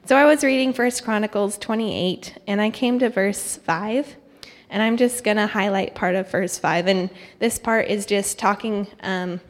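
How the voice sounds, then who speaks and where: A woman's voice: mid-range voice, measured pace. Young woman in a reverberant church hall. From Thailand. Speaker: guest speaker, missionary candidate. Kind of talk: informal church testimony and devotional. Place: church hall, speaking to congregation. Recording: echoey hall with long reverb.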